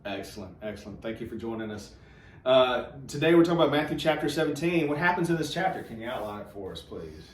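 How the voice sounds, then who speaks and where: A man's voice: mid-range voice, big pitch swings, fast talker. Roomy, distant-miked man hosting church chat. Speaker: host of a church read-along show. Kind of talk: casual conversational Bible discussion. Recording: echoey room, distant mic, faint noise.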